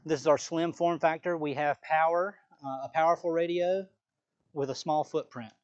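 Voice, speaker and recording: A man's voice: on the high side, fairly even intonation, moderate pace, a little mellow, lo-fi sound. A man presenting a live product training session as a sales trainer, explaining points plainly and checking in with the occasional "right?".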